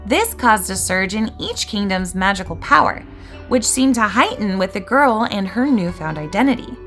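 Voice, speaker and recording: A woman playing an earnest, excitable, bookish fairy scholar who lectures about magic in a scripted roleplay. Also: mid-range voice, normal speed, close to an everyday voice. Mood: storytelling, grand. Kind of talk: scripted roleplay voice acting with storytelling. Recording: music behind the voice.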